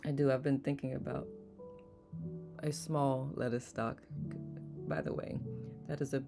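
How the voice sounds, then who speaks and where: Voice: mid-range voice, steady tone, measured pace, creaky. A meditation livestream host chatting casually, greeting viewers by name, reading their comments aloud and laughing easily. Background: soft guitar music.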